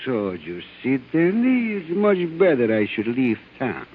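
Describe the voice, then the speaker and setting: Sly waterfront blackmailer, tinny old radio. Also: male voice, mid-range voice, huge pitch swings. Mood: veiled menace. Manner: halting foreign-English phrasing, insinuating. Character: sly Portuguese male waterfront blackmailer, falsely friendly. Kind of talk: vintage radio drama acting. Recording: tinny, noisy vintage radio recording.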